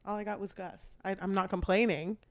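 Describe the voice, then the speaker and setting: A woman's voice: medium pitch, fairly even intonation, fairly quick. A woman co-hosting a book podcast in casual conversation, joking and swearing freely. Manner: irreverent, swears freely, jokey. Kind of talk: casual book discussion chat.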